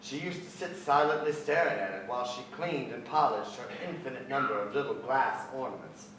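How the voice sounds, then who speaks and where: A middle-aged actor playing a reflective poet son who narrates family memories on stage, measured and wry. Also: male voice, medium pitch, fairly bright tone, lightly acted. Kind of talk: literary narration in staged reading. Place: large theatre stage, music stand, indoors. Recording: very echoey theatre, distant, noisy ambience.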